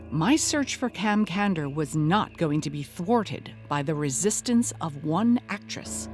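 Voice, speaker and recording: A woman's voice: low-pitched, lively intonation, unhurried, darkish tone, soft background music. A woman playing a dogged, earnest podcast host in a scripted mock documentary, with a dramatic delivery. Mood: earnest, intrigued.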